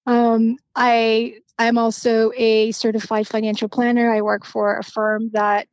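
A woman in her forties, raised in Romania, speaking as a podcast guest in a reflective way, pausing often with ums and restarting her sentences. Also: medium pitch, darkish tone, no echo, highs cut off. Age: 40s. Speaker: podcast guest, financial planner. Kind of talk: conversational interview.

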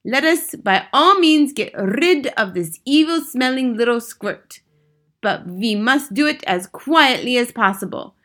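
A woman's voice: fairly high pitch, lively intonation, a little slow, pitched above the performer's own voice. A woman voicing an imperious, gloating, menacing witch leader in a children's audiobook, snapping out commands in oddly turned grammar. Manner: commanding, snapping, shouting, odd foreign-sounding grammar. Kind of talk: audiobook children's story villain.

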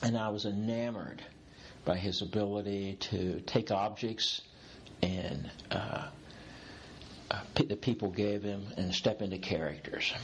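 A man's voice: on the low side, a little slow, a little hiss or hum. A man in his sixties talking as a guest in an interview, reflective and rambling, with restarts along the way. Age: 60s. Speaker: executive coach, guest. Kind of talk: interview conversation.

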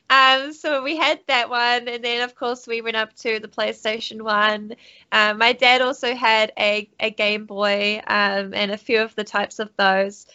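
Bright-voiced New Zealand woman, chatty. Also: a little high, flat delivery, measured pace, smooth, lo-fi sound. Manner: chatty, laughs often, many fillers and restarts. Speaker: guest, studio CEO and streamer. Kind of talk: casual podcast interview.

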